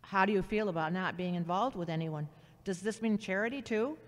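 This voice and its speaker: An actor playing a caring but frank older sister who probes and admonishes, in an amateur audio drama. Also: female voice, mid-range voice, a little fast, lightly acted. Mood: probing, teasing. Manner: probing, admonishing. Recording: reverberant hall-like sound.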